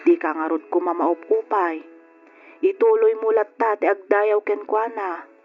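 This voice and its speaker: A woman's voice: medium pitch, a little slow, natural voice. Earnest middle-aged aunt, played by a woman, muffled radio sound. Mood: consoling, earnest. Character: consoling, pious aunt of a grieving young woman. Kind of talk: old radio drama acting. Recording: dull lo-fi radio drama with music.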